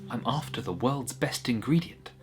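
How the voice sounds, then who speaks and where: A man's voice: mid-range voice, lively intonation, moderate pace, noisy background, close to an everyday voice. A man voicing a female pig chef in a short story, determined, wary and plain-spoken.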